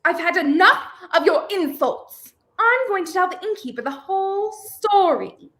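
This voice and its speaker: A young woman acts out a feisty, prim young heroine of a 1930s radio thriller. The voice is very high and swoops with emphasis, recorded in an echoing room. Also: lively intonation, a little slow, a bit bright, exaggerated voice. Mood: indignant. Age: young adult. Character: feisty, indignant young woman, handcuffed unwilling companion. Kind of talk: 1930s radio play acting. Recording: roomy, echoing home recording.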